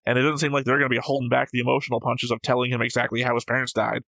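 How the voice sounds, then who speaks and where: A man's voice: medium pitch, level tone, very fast, vocal fry, a bit bright, dry recording. A man talking casually as a reaction show host, opinionated and analytical, with a blunt, flippant way of speaking.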